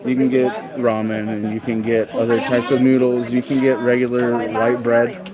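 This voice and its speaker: A man in his thirties chatting casually as a vlogger, unhurried and hesitant with lots of ums and uhs. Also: mid-range voice, flat delivery. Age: 30s. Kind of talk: casual chat about living costs abroad. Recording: loud crowd chatter in a large hall.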